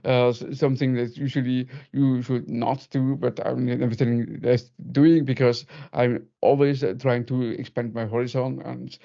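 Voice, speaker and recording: Halting man, gravelly low creak. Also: flat delivery, dark tone. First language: German. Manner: halting, frequent repetitions and self-corrections. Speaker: presenter, data solution architect. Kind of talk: informal technical webinar presentation. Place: online video meeting. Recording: muffled, band-limited online call audio.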